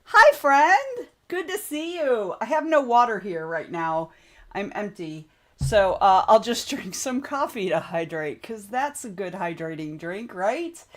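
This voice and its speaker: A woman hosting a casual writing livestream, chatting and greeting viewers by name. Her voice is a little hoarse and wobbly, and she keeps clearing her throat. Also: medium pitch, big pitch swings, unhurried. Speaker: livestream host. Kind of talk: casual chat on a writing stream.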